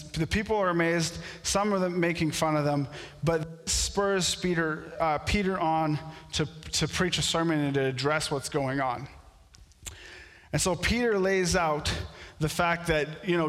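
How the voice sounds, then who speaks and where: A pastor whose first language is French, preaching a sermon in a storytelling way that builds to animated outbursts. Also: male voice, a little high, measured pace, in a large hall. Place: church sanctuary.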